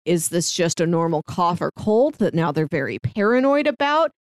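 A woman's voice: fairly low pitch, very animated, normal speed, no room sound. Woman chatting casually in dry, close sound. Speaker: podcast co-host discussing an audio drama. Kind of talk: casual chat, recapping a story.

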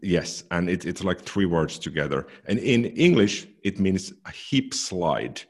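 Deep-voiced man, casual podcast talk. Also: big pitch swings, measured pace, breathy edge. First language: Finnish. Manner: thoughtful, repeats words as he goes. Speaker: podcast co-host. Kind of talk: casual technical chat.

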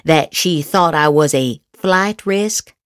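A woman giving a theatrical, sarcastic dramatic reading of political posts as a podcast host, with exaggerated emphasis and drawn-out words. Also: low voice, clear, resonant tone, dry recording, studio quality.

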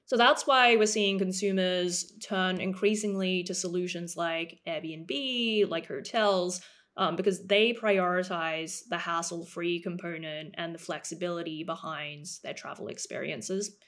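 Low-pitched woman from Australia. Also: fairly bright tone. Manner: polished, measured, many "um"s. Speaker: guest, startup co-founder and CEO. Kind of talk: podcast interview.

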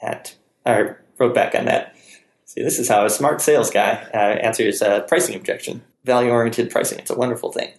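A man hosts a casual podcast in a chatty, rambling way, poking fun at himself. His voice has a gravelly fry. The room around him is echoey. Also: medium pitch, moderate pace. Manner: chatty, rambling, self-deprecating. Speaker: podcast host. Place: room in Palo Alto, California.

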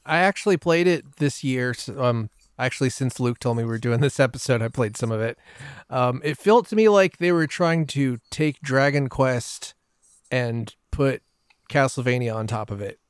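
Male podcast guest, smooth clear voice at a middling pitch, relaxed conversational anecdotes. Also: normal speed, no room sound, studio quality. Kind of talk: casual chat, telling personal anecdotes.

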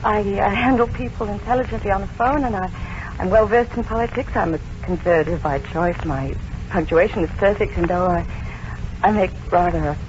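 A woman's voice: medium pitch, background noise, close to an everyday voice. An actress playing a devoted, lovelorn young secretary in an old radio drama, speaking earnestly and emotionally as she tells her story. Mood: flustered, nervous. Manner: earnest, emotional, halting when flustered. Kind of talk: 1940s radio drama acting with first-person narration. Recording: muffled old radio recording with music behind.

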